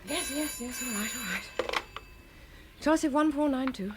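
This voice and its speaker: A woman's voice: sits high, unhurried. A woman playing an affectionate, curious sweetheart of a police inspector in a radio drama. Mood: sleepy, flustered. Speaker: actor. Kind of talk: British radio drama acting. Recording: loud background noise with clicks.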